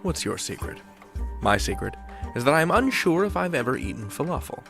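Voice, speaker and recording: A man's voice: a little high, sing-song, fairly quick, vocal fry, a bit bright, soft background music. A man hosting a podcast, giving a scripted, dramatic introduction. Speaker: podcast host.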